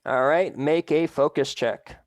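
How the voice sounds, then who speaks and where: A man's voice: on the high side, unhurried, no echo. A man narrating as game master of a tabletop role-playing game, explaining rules and scenes in an easygoing way.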